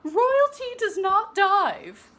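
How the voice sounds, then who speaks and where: A woman voicing a prim, scolding woman who directs a princess camp, with haughty, drawn-out theatrical pronouncements in a children's storybook. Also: very high voice, sing-song, fairly bright tone, voice pushed up, heavily acted. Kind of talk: children's storybook read-aloud.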